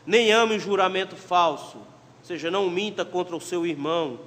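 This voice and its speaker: A man with a Pernambuco accent preaching a sermon as pastor, emphatic and shifting between loud exhortation and quiet asides. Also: sits high, normal speed. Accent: Pernambuco Portuguese. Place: church sanctuary. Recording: long church reverb.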